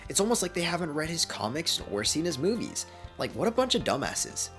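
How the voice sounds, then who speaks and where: A man voicing a brash, crude, wisecracking male mercenary who jokes loudly, over faint music. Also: fairly high pitch, sing-song, a little fast, voice pushed up. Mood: jokey. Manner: loud, crude, jokey.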